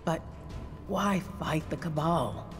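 An actress playing a composed female warlock scholar in a game cutscene, speaking in a measured, precise way. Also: low-pitched. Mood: concerned. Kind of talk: video game cutscene acting. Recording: music under a long reverb.

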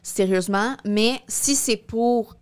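A woman's voice: fairly high pitch, takes it slowly, clean tone. A woman in her twenties speaks with a Québécois French accent in a casual discussion. She is informal and a little hesitant, slipping English words into her sentences. She is recorded on a close microphone in a small studio. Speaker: podcast co-host. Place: small indoor podcast studio. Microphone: close studio microphone on boom arm.

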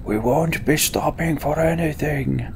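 Man putting on a blunt, gruff warrior leader. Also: fairly high pitch, unhurried, background noise, voice pushed up. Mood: grim, commanding. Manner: blunt, forceful shouting. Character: gruff commanding male warrior leading a death march.

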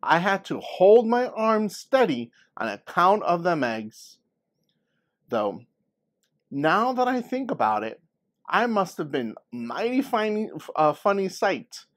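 Man reading as a folksy old grandmother, higher-pitched. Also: big pitch swings, slow, heavily acted. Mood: fond reminiscing. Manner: folksy, storytelling. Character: folksy elderly Southern grandmother telling childhood tales. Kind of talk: storybook read-aloud.

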